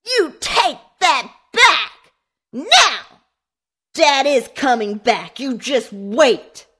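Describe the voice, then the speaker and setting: A woman's voice: very high-pitched, big pitch swings, moderate pace, a bit bright, voice pushed up, heavily acted. A woman voicing an eight-year-old girl who whines and screeches back at her brother. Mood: whiny. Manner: whining, screeching. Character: young girl, eight, whiny and defiant.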